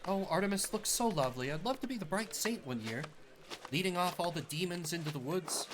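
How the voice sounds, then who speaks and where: Male streamer as young woman, excitable, chatty, high swooping voice, game festival character. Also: fairly quick, background noise. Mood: excited, delighted.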